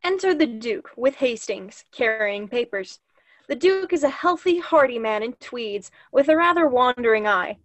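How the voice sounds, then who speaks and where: A woman's voice: a little high, expressive, normal speed, dry recording. A woman narrator reading stage directions in scripted narration for a play.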